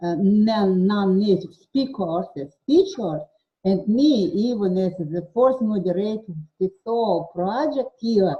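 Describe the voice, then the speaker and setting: A woman whose first language is German, speaking English haltingly in a live online discussion as a participant and moderator. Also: mid-range voice, lo-fi sound. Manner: halting, searching for words.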